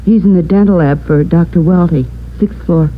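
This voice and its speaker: A woman's voice: lowish voice, moderate pace, natural voice. An American woman playing a plain, hesitant young elevator operator in an old radio drama. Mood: hesitant, concerned. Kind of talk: 1940s-50s radio drama acting. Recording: muffled vintage radio recording, faint noise.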